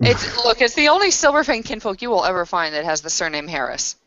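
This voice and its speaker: A woman plays a tabletop roleplaying game with casual, conversational delivery. Her pitch is average for a woman. The audio is dry and a touch lo-fi. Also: big pitch swings, fast talker, fairly bright tone, no echo. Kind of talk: casual in-character game dialogue.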